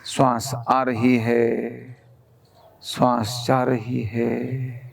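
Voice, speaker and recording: A man guides a relaxation meditation at an unhurried, very slow pace. His mid-pitched voice has a noticeable creak. He gives calm, soothing instructions as gentle, repeated commands.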